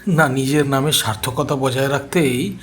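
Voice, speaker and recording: A man's voice: mid-range voice, normal speed, fairly bright tone, natural voice. Guy doing a snarky young researcher teasing his pals, audio story, mid voice, faint background noise. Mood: needling. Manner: needling, teasing. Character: young male history researcher, needling, mocking friend.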